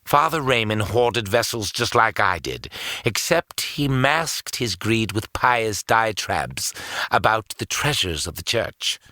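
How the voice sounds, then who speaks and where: A man's voice: lowish voice, lively intonation, slow pace, bright, forceful tone, dry recording, studio quality, full character voice. An actor playing a sneering, cynical male villain in an audio drama, with a mocking, condescending, theatrical manner. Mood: mocking contempt.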